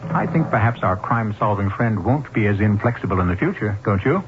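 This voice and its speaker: A man hosting a radio mystery show with scripted narration, measured and formal. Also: on the low side, expressive, noisy background. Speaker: host and narrator. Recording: dull vintage radio broadcast.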